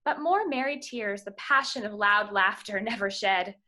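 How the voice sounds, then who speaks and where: A woman's voice: mid-range voice, normal speed, sharp tone, lightly acted. A woman playing a deferential but disdainful court master of revels, reading Shakespearean verse at an amateur table read over video call. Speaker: amateur reader in a play.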